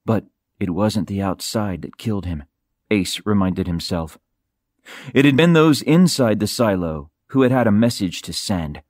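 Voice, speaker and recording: A man reading a novel as an audiobook narrator, in a measured, dramatic storytelling manner with careful articulation. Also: fairly low pitch, expressive, darkish tone, no room sound, clean studio sound. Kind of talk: scripted narration of a science fiction novel.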